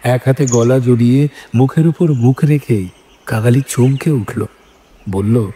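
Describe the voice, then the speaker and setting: A man reading scripted literary narration as a storyteller, in a measured manner. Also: mid-range voice, warm tone, slight background noise. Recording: music bed behind the voice.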